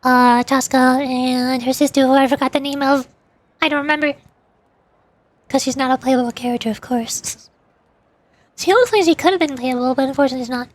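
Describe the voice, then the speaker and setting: A woman's voice: high voice, brisk, long pauses. A woman streamer chatting casually and rambling, often giggling as she answers her chat.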